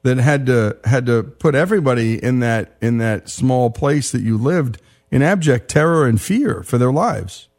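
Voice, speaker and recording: A man hosting a radio interview, introducing his guest and asking questions in a measured, deliberate way. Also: mid-range voice, expressive, a little mellow. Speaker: host.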